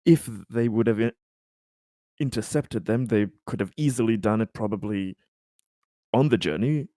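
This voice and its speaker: A man roleplaying in a tabletop game discussion, speaking in a hesitant, halting way. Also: lowish voice, dark tone, dry recording, clean studio sound.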